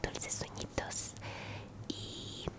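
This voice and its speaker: A woman whispers in ASMR style, softly repeating words and phrases. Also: drawn-out words. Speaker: ASMR creator and channel host.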